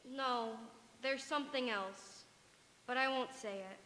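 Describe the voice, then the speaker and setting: An actress playing an anxious, emotional, easily alarmed adult daughter in a stage radio play. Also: female voice, high voice, unhurried, pushed and bright. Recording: echoey hall, distant, music behind.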